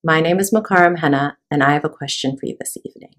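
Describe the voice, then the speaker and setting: A woman host in her home office, giving a welcoming, measured, scripted introduction. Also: low-pitched, heavy background noise.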